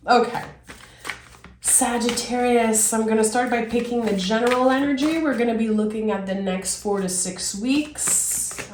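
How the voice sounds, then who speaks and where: A woman in her thirties, hoarse and raspy from being sick, giving a casual tarot reading with many fillers, musing aloud and getting enthusiastic. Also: mid-range voice, reverberant, background noise. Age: 30s. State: hoarse from illness. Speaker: tarot reader.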